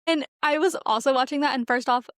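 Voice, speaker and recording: High-voiced young woman, gushing podcast chat. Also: fast talker, no echo, studio quality. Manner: gushing, slangy, dramatic emphasis. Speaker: podcast co-host. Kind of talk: casual chat.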